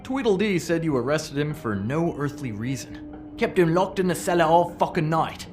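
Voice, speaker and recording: A man's voice: fairly high pitch, lively intonation, over music, lightly acted. An actor playing a cocky, mouthy male troublemaker in a video game, sarcastic and goading. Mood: mocking, smug. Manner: sarcastic, goading, drawn-out emphasis.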